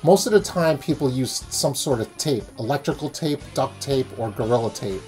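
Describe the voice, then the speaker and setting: A man's voice: medium pitch, animated, normal speed, darkish tone, soft background music. A man explaining things as a sailing channel host in a how-to vlog. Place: small indoor room.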